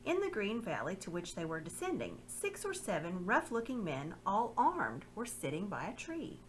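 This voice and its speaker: Brief: expressive female storybook reader, very lively pitch. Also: moderate pace, a little hiss or hum. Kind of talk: reading a children's book aloud.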